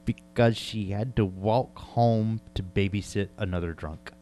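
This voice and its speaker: A man's voice: fairly low pitch, measured pace, mellow. A man chatting casually as a show host, with a folksy, joking, easygoing manner. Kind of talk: casual chat. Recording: clear background mains hum.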